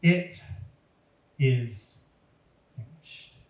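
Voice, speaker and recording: A man's voice: medium pitch, lively intonation, drawn-out words, darkish tone. A man voicing an anguished, forgiving Jesus on the cross in a children's storybook reading. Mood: surrendering. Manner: pleading, anguished. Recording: roomy echo.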